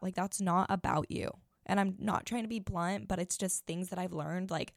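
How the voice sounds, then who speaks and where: Raspy gravelly lady in her 20s, low-ish voice, talks blunt and chatty, dry close mic. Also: a little mellow, dry recording. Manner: blunt, chatty, many fillers. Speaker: podcast host. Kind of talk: casual advice chat.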